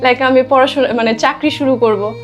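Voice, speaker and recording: A woman's voice: high voice, fairly even intonation, normal speed. A woman in her twenties with a Bangladeshi accent talks conversationally as a guest, making emphatic points with tag questions and mixing in English phrases. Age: 20s. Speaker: interview guest. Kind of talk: conversational discussion. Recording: music behind the voice.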